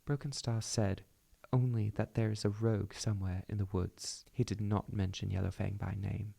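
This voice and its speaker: A man's voice: lowish voice, little pitch movement, moderate pace, creaky, dark tone. A man voicing a stern, protective female cat clan leader with an amateur put-on British accent, speaking firmly and formally. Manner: firm, formal, declarative.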